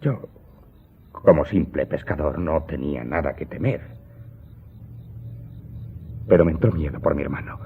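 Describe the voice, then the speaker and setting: A man's voice: rumbling low, a little slow, long pauses, a little hiss or hum. An actor playing an aggrieved servant earnestly telling his story to his master in a radio drama. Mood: earnest, grave recounting. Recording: muffled narrow-band audio drama recording. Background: loud music.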